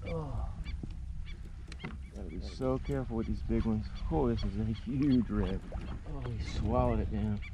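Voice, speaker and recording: A middle-aged man in a kayak, reacting out loud as he fights and lands a big fish, exclaiming and talking to the fish. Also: fairly low pitch, moderate pace, muffled recording. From Louisiana. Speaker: kayak angler. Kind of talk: live on-camera reaction while fishing. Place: kayak on open water outdoors. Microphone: action camera mounted on the kayak. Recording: outdoor wind and water noise.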